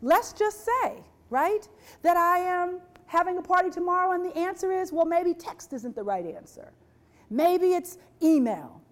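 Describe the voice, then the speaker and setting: Woman presenter, high voice, rhetorical and repetitive, quizzes the audience. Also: measured pace. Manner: rhetorical, repeats phrases, asks the audience questions; voices quoted people with lively shifts. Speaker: speaker on workplace diversity.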